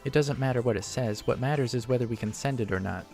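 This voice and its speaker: Clever, sulky young woman scientist for a visual novel, played by a man, brisk delivery, faint music. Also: mid-range voice, a little mellow, pitched above the performer's own voice, natural voice. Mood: impatient. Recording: music behind the voice.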